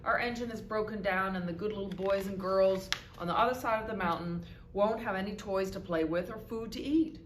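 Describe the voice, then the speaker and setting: A woman's voice: mid-range voice, a little fast, hardly any pauses, natural voice. A woman voicing little storybook dolls and toys, imploring as they cry out for help. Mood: pleading. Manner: imploring, crying out. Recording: roomy echo with background noise.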